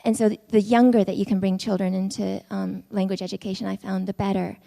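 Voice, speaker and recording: A woman's voice: mid-range voice, little pitch movement, quick pace, gravelly, warm tone. A woman on a conference panel speaking thoughtfully, with frequent restarts and fillers. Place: lecture hall. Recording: long hall reverb.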